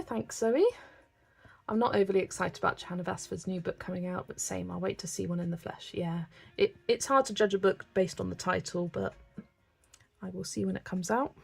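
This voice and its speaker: A woman's voice: mid-range voice, gravelly, slightly rough. A woman from England, chatting casually and reading viewer comments while she colours on a livestream. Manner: chatty, relaxed, thinking aloud with small laughs.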